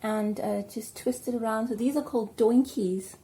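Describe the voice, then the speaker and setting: A South African woman chatting casually at home while doing her hair, rambling and filling pauses with ums. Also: on the high side, steady tone, dark tone. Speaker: vlogger. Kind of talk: casual hair tutorial chat.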